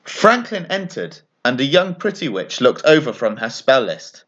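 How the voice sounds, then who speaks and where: A man reading a children's bedtime story aloud as an expressive narrator. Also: mid-range voice, moderate pace, lo-fi sound.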